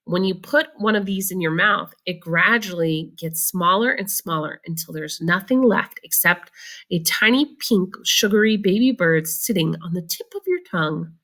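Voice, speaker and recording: A woman voicing a very old, excited grandfather who marvels eagerly in a children's storybook. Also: fairly low pitch, normal speed. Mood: marveling. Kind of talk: children's storybook read-aloud.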